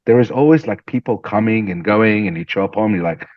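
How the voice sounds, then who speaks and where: A man from Syria, a guest entrepreneur, telling stories in an animated, conversational interview and often repeating words as he starts a sentence. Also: lowish voice, fairly quick, no room sound, muffled recording.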